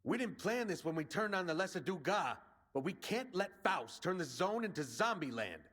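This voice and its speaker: A man's voice: high voice, very animated, normal speed, a bit bright, heavily acted. An actor playing a brash male resistance commander in a video game, rallying and plain-spoken like a soldier. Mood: determined.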